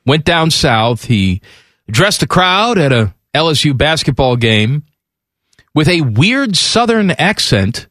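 A man's voice: on the high side, sing-song, slow, a bit bright, no room sound, clean studio sound. A man hosting a sports talk show, speaking in casual, bantering conversation. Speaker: sports radio host.

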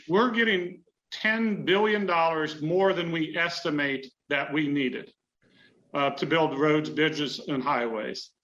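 A man's voice: on the high side, sharp tone. A man, a state politician, explaining in an interview with stumbling restarts. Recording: muffled lo-fi audio.

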